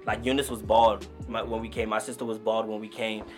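A man in his twenties from Nigeria, a guest rapper telling stories in relaxed, slangy casual talk. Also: fairly low pitch, a little fast, over music. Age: 20s. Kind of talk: casual podcast interview.

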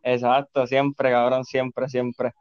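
Chill puerto rican dude, early 20s, smooth clear voice, swears every other word, dull dry recording. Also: male voice, medium pitch, completely flat delivery, takes it slowly, dry recording. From Puerto Rico. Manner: laid-back, slangy, swears constantly. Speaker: podcast guest. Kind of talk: casual chat.

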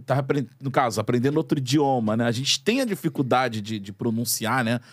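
A man's voice: medium pitch, expressive, brisk. A man hosting a casual podcast in a studio, chatty and filling his talk with tag questions. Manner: chatty, fills with "né?", laughs easily. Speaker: podcast host.

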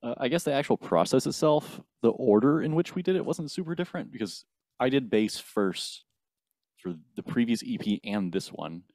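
A man in his twenties, a band's bassist, explaining the recording process methodically in a casual interview. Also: lively intonation, moderate pace, a little mellow, no echo. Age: 20s. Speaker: band bassist.

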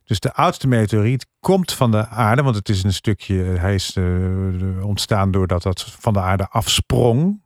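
Male panelist, casual, fairly low voice, dry studio sound. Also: unhurried, hardly any pauses, no room sound. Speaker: podcast panelist. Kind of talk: casual review chat.

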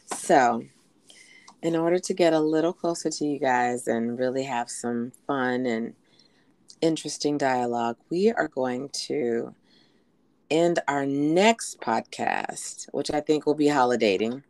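A woman co-hosting a casual podcast, hoarse from a recent illness, chatting with lots of "um"s and "you know"s. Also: extremely low pitch, unhurried, darkish tone, dry recording. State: hoarse from being sick. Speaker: podcast co-host.

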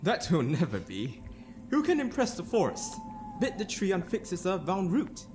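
A man's voice: high-pitched, huge pitch swings, moderate pace. A man acting a Shakespearean king, ambitious, fearful and vengeful, in a theatrical, declamatory dramatic reading. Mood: demanding. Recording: loud ominous music behind the voice. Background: ominous music.

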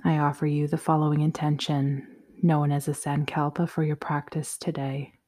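A woman guiding a yoga nidra meditation in a soothing, measured, softly spoken way. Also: deep voice, flat delivery. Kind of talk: guided meditation, slow instructions.

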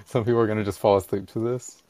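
Very deep flat-sounding guy chatting on a podcast, dry close sound. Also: no room sound. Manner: monotone. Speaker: podcast co-host. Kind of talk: casual chat.

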